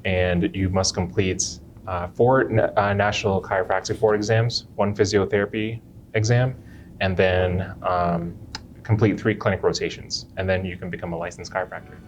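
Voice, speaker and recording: A young man from Jamaica who works as a chiropractor, answering interview questions in an unhurried way and often restarting his phrases. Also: low voice, steady tone, slight background noise.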